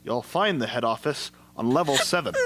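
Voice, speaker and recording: Man playing an officious guard, swinging pitch. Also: lively intonation, normal speed, fairly bright tone, a little hiss or hum, close to an everyday voice. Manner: formal, bureaucratic, curt. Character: officious, unbending security guard. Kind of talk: amateur radio drama acting.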